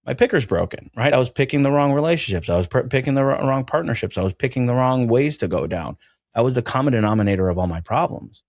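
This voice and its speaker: A man's voice: mid-range voice, animated, fast, darkish tone, no echo, clean studio sound. A man from Venezuela talking earnestly as an interview guest, reflective and emphatic, repeating phrases to drive them home. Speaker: interview guest, mental healing educator.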